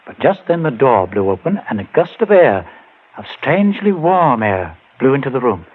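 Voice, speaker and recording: Vintage muffled radio drama, husky male voice, grim avenging brother, skeptical, intense, emphatic, 1940s horror acting. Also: mid-range voice, sing-song, moderate pace. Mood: tense, recounting. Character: bereaved, skeptical man grimly bent on avenging his brother. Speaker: supporting character.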